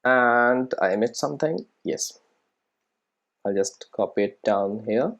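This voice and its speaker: A software instructor giving an informal screencast coding tutorial, explaining step by step and often checking that listeners follow. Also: medium pitch, takes it slowly, dull audio. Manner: explanatory, frequently checking understanding with tag questions.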